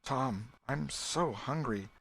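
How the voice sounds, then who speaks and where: A man's voice: mid-range voice, slow, creaky, fairly bright tone, slightly rough, pitched above the performer's own voice, heavily acted. A man reading an audiobook, voicing a frightened, weary, sorrowful young girl with a plaintive, faltering delivery. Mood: weary, wistful. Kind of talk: audiobook character voice.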